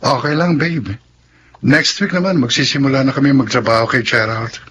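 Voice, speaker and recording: A man's voice: mid-range voice, normal speed, fairly bright tone, highs cut off, slight background noise, close to an everyday voice. A man acting a friendly, caring older brother with a Filipino accent in a radio drama. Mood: easygoing.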